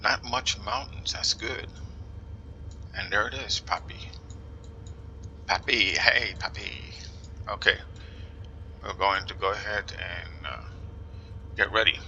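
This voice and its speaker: Low gravelly jersey guy chatting over a flight sim, sharp bright tone, bit of hiss behind. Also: expressive, lo-fi sound. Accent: New Jersey. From New Jersey. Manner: musing aloud, reading instructions slowly, often drops to a whisper. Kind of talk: casual gameplay commentary.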